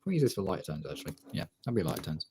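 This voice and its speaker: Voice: sits low, big pitch swings, racing, background noise. A streamer chatting casually while drawing, absent-mindedly thinking aloud in half-muttered remarks.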